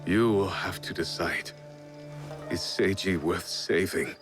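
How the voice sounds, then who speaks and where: A solemn, conflicted warrior in a video game. He speaks in a deep voice, grave and terse, over clearly audible music. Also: takes it slowly. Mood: conflicted, resolved.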